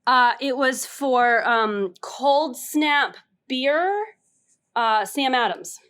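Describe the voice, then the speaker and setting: A woman telling a casual story as a contestant on a panel game, halting and giggly. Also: sits high, lively intonation, a bit bright, clean tone.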